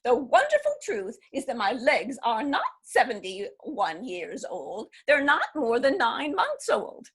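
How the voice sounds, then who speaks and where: A woman playing a mischievous old divorcee, husky. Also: high-pitched, huge pitch swings, unhurried, full character voice. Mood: gleeful. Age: 70s. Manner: laughing, brash and amused. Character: spirited elderly divorcee, mischievous and defiant. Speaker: actor. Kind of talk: readers theatre reading.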